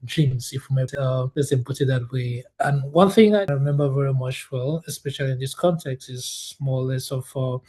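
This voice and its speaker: A man in his thirties from Cameroon, a guest telling his life story in a relaxed interview, full of fillers and restarts. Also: mid-range voice, moderate pace, hardly any pauses. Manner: hesitant, many fillers and restarts, laughs often.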